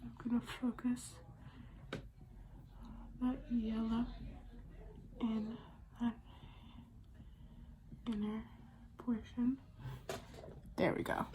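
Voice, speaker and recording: A young woman chatting casually through a makeup tutorial, murmuring and trailing off as she works, with a slightly raspy voice from getting over a sickness. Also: fairly high pitch, little pitch movement, drawn-out words, long pauses, a little hiss or hum, poor audio. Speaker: beauty vlogger.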